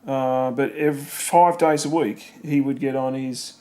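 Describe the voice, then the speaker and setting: A man's voice: medium pitch, fairly even intonation, a little slow, mellow, a little hiss or hum, poor audio. A middle-aged Australian man casually reminiscing about family, rambling with fillers and leading questions. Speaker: family member recording memories.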